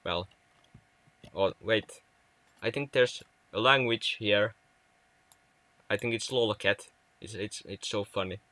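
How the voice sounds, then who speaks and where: A man's voice: lowish voice, slow, pauses often, bright, forceful tone, no echo. A young man from Finland with a Finnish accent, chatting casually with viewers on a game livestream, often stumbling and restarting words. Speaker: livestream gamer.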